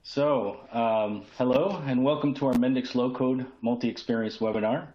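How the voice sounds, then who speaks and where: A man presents a business webinar as its host. His voice sits at a medium pitch. The recording is roomy with clear echo and sounds slightly dull. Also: normal speed. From San Juan, Puerto Rico. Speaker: host, key account manager.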